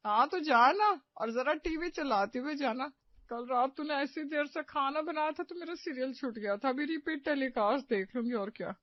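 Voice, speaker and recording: Lady doing bossy drama-queen saasu in a cartoon, really high voice, sarcastic nagging, very dry muffled sound. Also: moderate pace, dry recording, close to an everyday voice. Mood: demanding. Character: bossy, theatrical, scornful middle-aged mother-in-law, leader of her friends. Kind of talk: animated moral-story cartoon acting.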